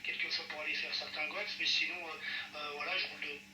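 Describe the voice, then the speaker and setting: A man's voice: a little high, flat delivery, a little fast, sharp tone. A middle-aged man in a casual phone conversation, speaking hesitantly and repeating his phrases as he rambles. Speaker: private car seller, business owner. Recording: distant echoey phone call with music.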